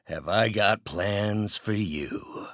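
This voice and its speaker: An actor playing an older scientist in a video game, an earnest, proud and increasingly bitter mentor with deliberate, articulate, theatrical delivery. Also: male voice, lowish voice, a bit bright, dry recording, clean studio sound. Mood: proud, resentful. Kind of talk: dramatic video game voice acting.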